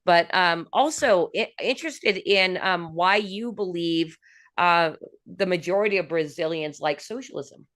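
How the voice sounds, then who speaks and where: A woman's voice: on the low side, measured pace, a bit bright. A woman hosting a casual interview, chatty and leading with long rambling questions. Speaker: host.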